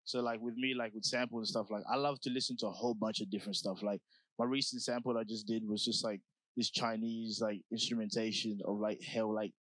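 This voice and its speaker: Young man from South Sudan, rapper guest, lowish voice, laid-back slangy talk, dry close mic. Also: flat delivery, fairly quick, fairly bright tone, dry recording, low-quality recording. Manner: laid-back, slangy, lots of "like" and "you know". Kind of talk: casual interview.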